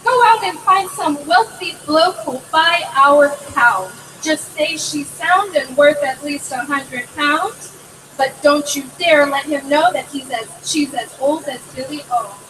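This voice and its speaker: A shrill, scolding mother from a storybook, as a woman reading aloud puts her on. She shrieks high in exasperation and takes her time. The voice comes from a distance over clear background noise. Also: measured pace, fairly bright tone.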